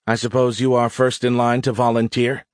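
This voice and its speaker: A man voicing a calm, wry female colonel in an audiobook, with a dry, measured, authoritative delivery. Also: medium pitch, no echo, voice pushed up, close to an everyday voice.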